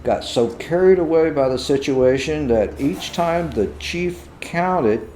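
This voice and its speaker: Slow-paced male storyteller in a roomy space. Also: a little high, animated, mellow, slight background noise. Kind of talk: scripted folktale narration. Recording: roomy echo with music behind.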